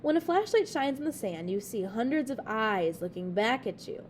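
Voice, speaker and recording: A woman's voice: a little high, sing-song, moderate pace, slight background noise. A woman voicing an eager, outgoing, folksy girl in a storybook read-aloud, with an emphatic way of talking. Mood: spooky, teasing.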